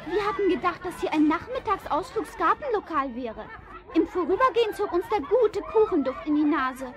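A woman's voice: very high-pitched, expressive, moderate pace. A girl posing as a fine lady. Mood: genteel. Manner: affectedly genteel.

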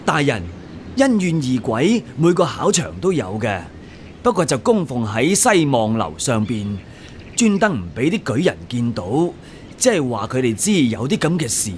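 An actor playing an officious, fawning exam-hall guard in a radio drama. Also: male voice, mid-range voice, sing-song, normal speed, a little hiss or hum, lightly acted. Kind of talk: Cantonese radio drama acting.

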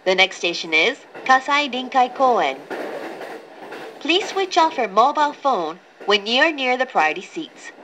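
Slow, formal woman train announcer. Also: on the high side, big pitch swings. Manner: formal, clearly enunciated. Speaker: English-language train announcer. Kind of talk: scripted recorded train announcement. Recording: recorded aboard a train, clear background noise.

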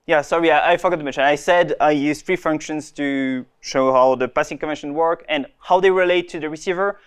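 A young man presenting a technical talk at a conference, pausing and restarting often as he explains. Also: fairly high pitch, clear, resonant tone. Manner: hesitant, many restarts and fillers. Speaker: conference speaker. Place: conference room.

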